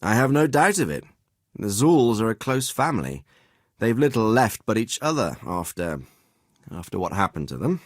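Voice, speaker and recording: An actor playing a middle-aged noble lord and commander in a video game, with a measured, formal and paternal manner. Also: male voice, fairly low pitch, sing-song, no room sound, studio quality. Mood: grave, deliberating. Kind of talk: acted video game dialogue.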